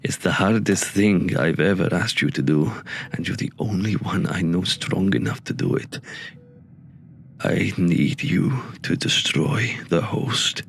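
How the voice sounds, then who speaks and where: A man playing a solemn, regretful man saying a hard farewell in an audio drama, with a gravelly voice. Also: low-pitched, a little slow, a little hiss or hum. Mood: sorrowful, resolute.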